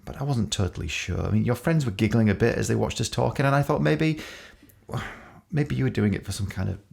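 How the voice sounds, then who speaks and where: An actor playing a regretful, self-doubting boyfriend in an intimate audio drama, speaking haltingly and apologetically. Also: male voice, a little mellow. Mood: shy, wistful. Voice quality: low gravelly voice. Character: Simon, regretful, self-doubting boyfriend near the world's end.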